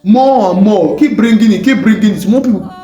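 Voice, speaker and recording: A man with a West African accent telling a story in an animated, informal way, full of exclamations, repeated phrases and rhetorical questions. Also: sits high, brisk, lo-fi sound. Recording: roomy echo, faint music bed.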